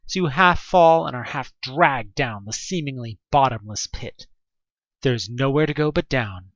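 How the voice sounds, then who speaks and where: A man's voice: mid-range voice, a bit bright, no room sound, highs cut off. A man narrating an interactive horror story in the second person, with a theatrical, wry delivery. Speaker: narrator of a fan audio drama.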